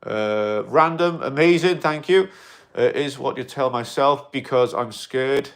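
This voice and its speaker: A man in an alien costume, chatting casually on a livestream and answering viewer comments in a rambling, excitable way. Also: medium pitch, a little slow. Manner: rambling and excitable, with many fillers and restarts; loud bursts of excitement between quieter asides.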